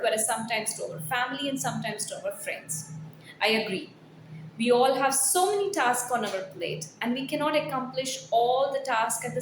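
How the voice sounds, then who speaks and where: A woman, a corporate trainer reading a written piece aloud with personal asides in an emphatic, earnest, exhortative manner. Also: high voice. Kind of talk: scripted blog read aloud with personal asides. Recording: roomy echo, faint background noise.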